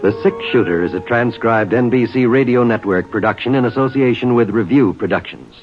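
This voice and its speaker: A male announcer reading a formal closing credit in a measured, even way. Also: medium pitch. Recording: dull old radio transcription with music.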